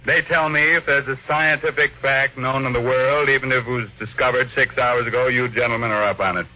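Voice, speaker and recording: An actor playing a cold, imperious millionaire who gives brusque orders in an old-time radio drama. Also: male voice, medium pitch, fairly even intonation, a little fast, a little hiss or hum, close to an everyday voice. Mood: commanding. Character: domineering, cold millionaire tycoon barking orders. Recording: dull vintage radio recording.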